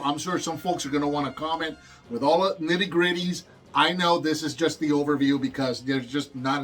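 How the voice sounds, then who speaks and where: A man in his forties from Puerto Rico, hosting a toy review in an enthusiastic, rambling way. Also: fairly high pitch. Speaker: toy review channel host.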